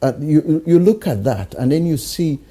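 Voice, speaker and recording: A man leads a conversational interview, his voice dark and mellow with a husky edge. He works toward his questions through hesitations and keeps up a steady stream of agreement while the guest talks. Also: a little high, normal speed. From Uganda. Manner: searching, hesitant, frequent backchannel agreement.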